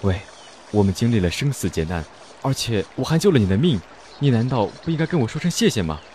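A man acting a cheerful, persistent young martial-arts hero in a radio drama, with a teasing, expressive delivery. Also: medium pitch, fast, a little hiss or hum, lightly acted. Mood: playful. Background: music score underneath.

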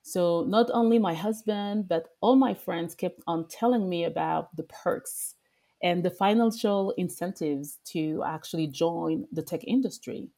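A woman's voice: lowish voice, a little slow, vocal fry, warm tone. A woman from France with a French accent, a podcast guest who speaks with frequent fillers and restarts. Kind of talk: podcast interview.

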